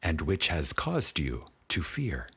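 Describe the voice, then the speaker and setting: A man with a husky voice reads a spiritual audiobook in a solemn, deliberate manner with dramatic pauses. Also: very deep voice, wildly swooping pitch, a bit bright. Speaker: audiobook narrator. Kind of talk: scripted spiritual audiobook narration.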